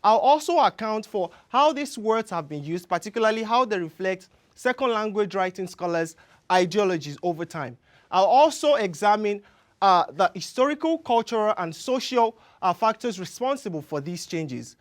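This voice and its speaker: Young nigerian guy, yoruba native, high voice, emphatic rehearsed talk on a studio stage, lapel mic. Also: lively intonation. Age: young adult. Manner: earnest, measured, emphatic. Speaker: graduate student presenter. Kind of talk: rehearsed research pitch. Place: large indoor studio stage.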